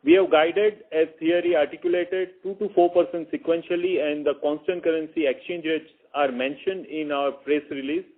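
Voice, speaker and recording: Phone call audio, finance exec guy, kinda high voice, formal results rundown, repeats words a bit. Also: fairly even intonation, normal speed, few pauses. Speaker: chief financial officer. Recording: narrow tinny phone conference line.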